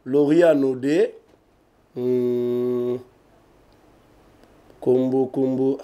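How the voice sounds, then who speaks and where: A man's voice: medium pitch, warm tone. A man with a Congolese accent giving emphatic political commentary, repeating names and speaking straight to viewers. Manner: emphatic, repetitive, addresses viewers directly. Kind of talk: animated political commentary in French and Lingala.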